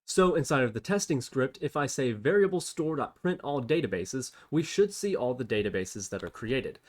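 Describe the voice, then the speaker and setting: A man's voice: mid-range voice, big pitch swings, moderate pace, a little mellow. A man hosting an unscripted programming tutorial, thinking aloud step by step as he types. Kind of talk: unscripted screen-recorded coding tutorial.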